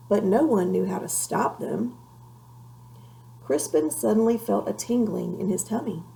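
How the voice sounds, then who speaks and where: A woman reading a children's story aloud in an expressive, measured way, with a creaky voice. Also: mid-range voice, poor audio. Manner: measured, animated read-aloud delivery. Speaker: storybook reader.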